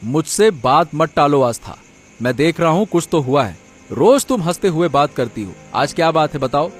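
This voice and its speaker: An honest, soft-hearted village woodcutter from a cartoon tale, acted by a man. His voice is fairly high, animated and earnest, with faint music behind it. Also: normal speed. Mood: concerned. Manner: earnest, emotive. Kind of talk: cartoon story character acting. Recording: music under the voice.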